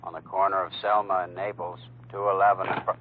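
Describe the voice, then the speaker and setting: An actor playing a businesslike American police dispatcher, flat and procedural, through a radio filter. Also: male voice, low voice, fast, slight background noise. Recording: narrow, distant radio transmission.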